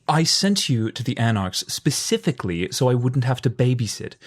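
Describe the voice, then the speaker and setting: A man's voice: mid-range voice, big pitch swings, moderate pace. A man playing an irritable, sardonic vampire boss in an audio drama, sarcastic and exasperated. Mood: irritated.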